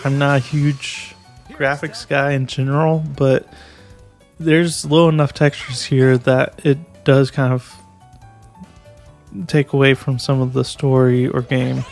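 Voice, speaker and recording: Gravelly, flat-voiced young man reviewing. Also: medium pitch, slow pace, soft background music. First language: English. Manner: hesitant, frequent restarts. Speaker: game reviewer. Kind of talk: casual video game review.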